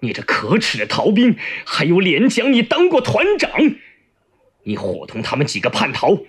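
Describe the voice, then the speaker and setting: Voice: mid-range voice, huge pitch swings, moderate pace, husky. A storyteller voicing a stern male revolutionary leader who questions and rebukes with authority. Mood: scornful rebuke.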